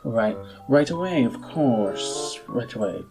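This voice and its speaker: Nervous, apologetic butler creature acted by a male game master; fawning, halting and formal, over a music bed. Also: medium pitch, expressive. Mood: eager, servile. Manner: stammering, fawning, formal and deferential. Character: nervous, apologetic tiefling butler, servile and eager to please.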